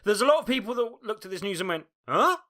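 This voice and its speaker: Bright-toned hesitant British co-host. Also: male voice, high voice, big pitch swings, quick pace. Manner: hesitant, thinks aloud. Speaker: podcast co-host. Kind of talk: casual banter.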